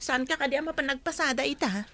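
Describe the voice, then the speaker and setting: A patient wife in a radio drama. Her voice sits fairly high, and she reasons with her husband in an Ilocano accent. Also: fast, bright, forceful tone. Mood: obliging.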